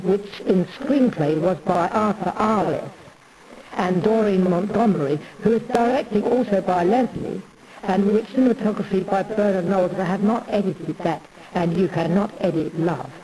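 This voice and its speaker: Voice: mid-range voice, normal speed, a crowd in the background, natural voice, low-quality recording. A performer playing an imperious, aggrieved Tudor queen in a theatrical parody monologue, declaiming in a pompous, rambling way. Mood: declamatory, solemn.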